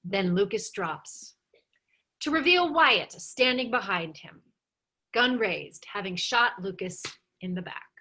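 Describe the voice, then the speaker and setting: A woman narrates a script at a table read in a bright, forceful voice of middle pitch. She reads the stage directions as animated storytelling. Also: slow.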